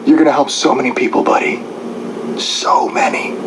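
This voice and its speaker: An actor playing a warm, proud young inventor and older brother in an animated film. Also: male voice, medium pitch, normal speed, lightly acted, rough recording. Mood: tender joy. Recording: film clip with loud music behind.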